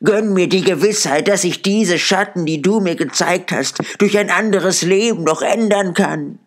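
A man voicing an old miser who pleads in terror and desperation with a raised, strained voice. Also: measured pace. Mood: pleading. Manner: desperate, high-pitched pleading.

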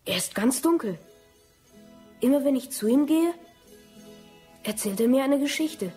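A woman's voice: sits high, expressive, unhurried, warm tone, faint music behind. A woman playing a dreamy, curious small child in a radio drama, naive and full of wonder. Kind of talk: German radio play acting.

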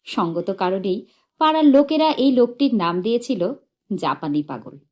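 A woman narrating a humorous short story in an expressive, measured and dramatic storytelling manner. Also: medium pitch. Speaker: audiobook narrator.

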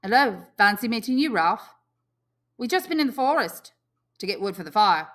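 A woman's voice: mid-range voice, big pitch swings, moderate pace, fairly bright tone, voice pushed up. A woman voicing an awkward, evasive twin boy in an audiobook, with a stifled, hesitant manner. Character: awkward, evasive twin boys making excuses.

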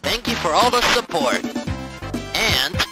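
A woman acts out a hot-tempered male hedgehog co-host from a homemade cartoon audio drama. The delivery swings widely in pitch and is easily exasperated. Loud music plays behind the voice. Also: lively intonation, a little slow. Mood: earnest, subdued. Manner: irritable, explosive, shouts when thwarted.

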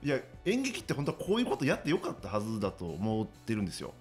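A man's voice: on the low side, wildly swooping pitch, very fast. A man speaking as an interview guest, explaining things casually in a hesitant, feeling-his-way manner. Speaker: guest, theatre playwright and director. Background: background music.

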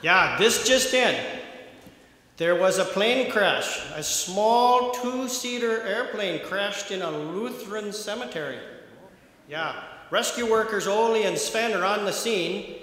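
High, bright man in a very echoey hall. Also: expressive, takes it slowly. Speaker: radio show newsreader and band member. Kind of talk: mock news bulletin. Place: large church hall stage. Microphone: stand microphone. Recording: very echoey hall, faint noise.

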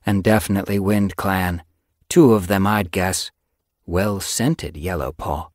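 Casting: calm adult warrior cat and tracker, read by a narrator, measured, decisive, animal audiobook. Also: low-pitched, dry recording, studio quality. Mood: appraising.

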